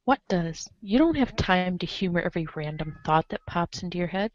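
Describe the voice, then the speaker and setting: A woman's voice: fairly low pitch, lively intonation, brisk. A woman reading scripted narration aloud as the narrator of a group reading. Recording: dull lo-fi audio.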